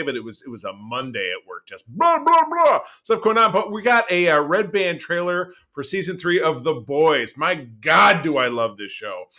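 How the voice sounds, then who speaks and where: A man co-hosting a pop-culture podcast in casual, rambling and excitable chat, with some swearing. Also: fairly high pitch. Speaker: podcast co-host.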